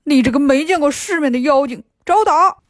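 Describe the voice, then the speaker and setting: A woman voicing a clumsy, boastful pig-monk who whines and complains fast in a children's storybook. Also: high voice, animated, no room sound, studio quality. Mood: indignant. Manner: whiny, indignant and fast-talking.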